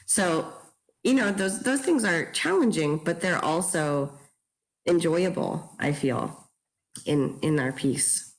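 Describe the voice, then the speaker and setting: A woman reflecting haltingly on a theatre piece as a guest on a video call, repeating phrases. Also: on the low side, expressive, rough recording. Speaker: guest from a theatre production team. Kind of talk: reflective discussion.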